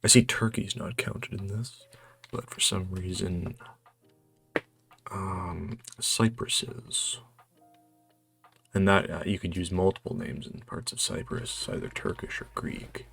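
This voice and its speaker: A man's voice: sits low, steady tone, slow, creaky, long pauses, a bit bright, soft background music. A man thinking aloud in casual commentary as he plays a game, musing and muttering to himself. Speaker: challenge player and channel regular.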